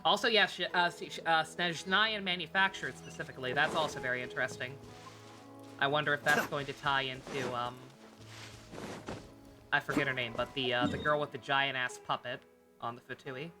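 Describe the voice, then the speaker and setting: A woman's voice: rumbling low, fairly even intonation, normal speed, music in the background. A woman game streamer giving casual, irreverent live commentary, rambling and swearing freely.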